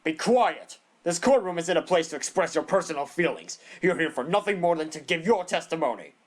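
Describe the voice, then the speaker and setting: A man's voice: a little high, lively intonation, slight background noise, close to an everyday voice. A man playing a strict, condescending prosecutor in a courtroom audio drama, speaking formally and pompously. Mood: angry. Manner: formal, pompous, deliberate phrasing. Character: stern, condescending male bird prosecutor, strict and theatrical. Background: prominent music.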